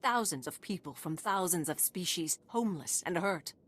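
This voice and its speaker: Proud, low-voiced alien refugee leader. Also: female voice, lively intonation, normal speed, a bit bright, husky. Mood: pleading, solemn. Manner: formal, solemn, impassioned pleading. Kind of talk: scripted video game dialogue.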